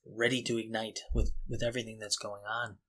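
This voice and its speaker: A man's voice: lowish voice, level tone, fairly quick, a bit bright. A middle-aged man co-hosting a podcast in a casual, reflective and earnest conversational style. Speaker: podcast co-host.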